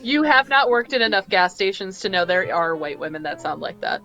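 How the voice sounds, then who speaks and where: A woman's voice: mid-range voice, animated, brisk, fairly bright tone. A woman chatting casually in a group. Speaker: voice actor in a live table read. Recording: music playing behind the chat. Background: music.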